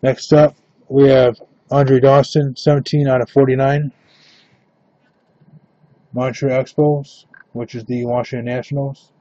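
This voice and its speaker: A man hosting a live trading card break, announcing cards and teams in a steady, matter-of-fact way. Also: medium pitch, a little slow, pauses often, no room sound, lo-fi sound.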